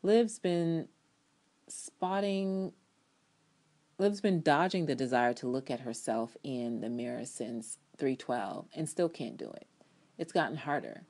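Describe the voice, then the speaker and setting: Low-voiced woman reading her review dramatically. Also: animated, takes it slowly, a little mellow. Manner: emphatic, sassy, blunt with profanity and slang.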